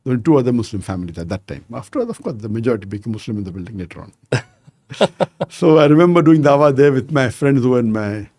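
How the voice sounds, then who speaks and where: A man in his sixties telling anecdotes as a podcast guest, measured and sprinkled with "you know". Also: medium pitch, animated, mellow, no room sound. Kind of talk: conversational storytelling interview.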